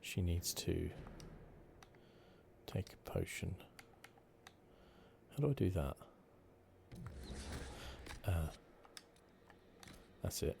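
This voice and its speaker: A man giving relaxed, playful ASMR gameplay commentary, rambling a little hesitantly. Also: low-pitched, very slow, creaky, long pauses. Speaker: gaming video host. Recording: game noise behind the commentary. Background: fantasy video game sounds.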